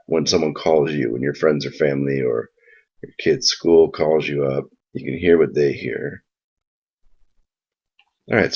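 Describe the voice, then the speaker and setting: A man giving an informal, explanatory software walkthrough in a casual spoken style. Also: very deep voice, husky. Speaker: software tutorial presenter. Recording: dull lo-fi mic sound.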